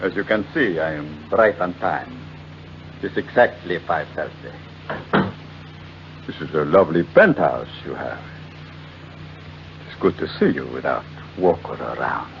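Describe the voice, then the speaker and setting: An actor playing a coolly polite, menacing blackmailer in 1940s radio mystery style, with oily, formal, deliberate phrasing. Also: male voice, very deep voice, lively intonation, creaky, exaggerated voice. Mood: smugly polite. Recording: muffled old radio recording with noisy hum.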